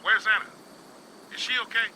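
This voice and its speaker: A man playing an older, stubborn, protective father in a video game drama, talking in a gruff, folksy, grumbling way. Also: sits high, level tone, fairly quick, sharp tone, a little hiss or hum, low-quality recording. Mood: anxious. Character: stubborn, protective old father who cannot walk.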